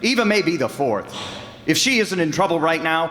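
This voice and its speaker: A man's voice: a little high, sing-song, moderate pace, fairly bright tone, a little hiss or hum. A man playing a persistent, earnest, plain-spoken detective in an old-time radio drama. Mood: insistent. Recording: echoey hall, faint music behind.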